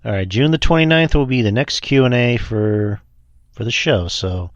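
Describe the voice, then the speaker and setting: A man's voice: medium pitch, expressive, normal speed, no echo, muffled recording. A man co-hosting a podcast Q&A in easygoing chat, joking and rambling with many fillers. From Texas. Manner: joking, loose, full of fillers. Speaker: podcast co-host.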